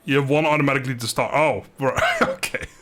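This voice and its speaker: A man's voice: mid-range voice, wildly swooping pitch, quick pace, a bit bright. A man casually commentating a video game on a live stream, chatting with viewers in a rambling, good-humoured way.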